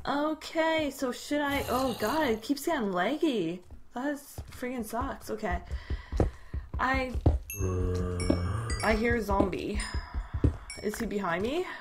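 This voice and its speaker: A woman's voice: fairly high pitch, animated, takes it slowly, long pauses, loud music behind the voice. A young woman with a Canadian accent giving casual gameplay commentary, given to sudden startled squeals and chatty asides to her viewers. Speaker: gaming video creator.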